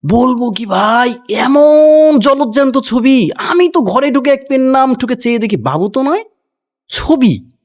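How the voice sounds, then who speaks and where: A man's voice: extremely high pitch, very animated, a little slow, pitched above the performer's own voice, exaggerated voice. A man voicing a fawning, blustering male servant in a storybook tale, exclaiming dramatically. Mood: gushing.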